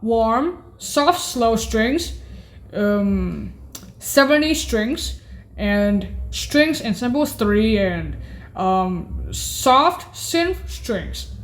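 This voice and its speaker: A woman giving an informal, halting demo of keyboard sounds, listing names one by one in a hesitant, deliberate way. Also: on the high side, big pitch swings. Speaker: presenter demonstrating keyboard voice sounds.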